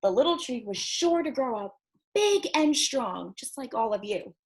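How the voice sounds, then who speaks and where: A woman librarian reading picture books aloud to children, expressive and encouraging, often putting questions to her young listeners. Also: a little high, normal speed, slight background noise.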